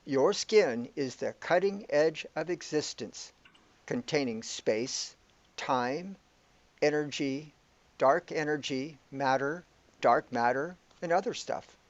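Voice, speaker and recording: A man acting in a radio play as a matter-of-fact companion who explains things deliberately. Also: fairly high pitch, dull audio. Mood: explaining.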